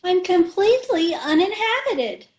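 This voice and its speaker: A woman's voice: extremely high pitch, expressive, a little slow, a little mellow, lo-fi sound. A woman playing a scatterbrained wife who mangles words, in old-time radio comedy style. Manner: ditzy malapropisms.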